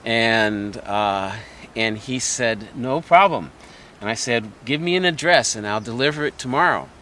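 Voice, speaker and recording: A middle-aged man, a sculptor, chatting and telling a long anecdote in a relaxed interview. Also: medium pitch, unhurried, slight background noise. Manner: chatty anecdotal storyteller, laughs often. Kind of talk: casual storytelling interview.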